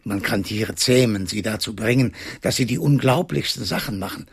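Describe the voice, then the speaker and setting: An actor playing a fearful, resigned doctor in his seventies in a radio drama, weary and apologetic, with a husky, creaky voice. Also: fairly low pitch, normal speed, no echo, clean studio sound, exaggerated voice. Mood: awed, intense. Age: 70s. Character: fearful, resigned seventy-year-old male animal doctor.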